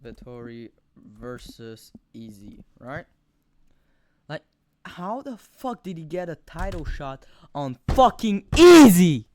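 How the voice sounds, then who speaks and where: A young man with a thick Quebec French accent giving rambling, profane fight predictions on camera, full of fillers and sudden animated outbursts. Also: on the high side, slow pace, slight background noise. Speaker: MMA video host. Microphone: camera mic, close.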